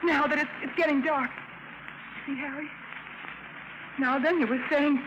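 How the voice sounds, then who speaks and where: High-pitched coquettish femme of intrigue. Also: female voice, steady tone, unhurried, highs cut off. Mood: playful. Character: coquettish, evasive woman of intrigue. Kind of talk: 1950s radio drama acting. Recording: noisy vintage 1950s radio recording.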